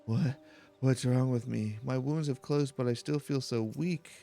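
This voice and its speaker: Guy doing a weak confused patient from a fantasy game, halting, grateful, kinda croaky. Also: mid-range voice, fairly even intonation, mellow, soft background music. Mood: bewildered, grateful. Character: weakened patient, bewildered but grateful after healing. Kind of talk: game dialogue read aloud.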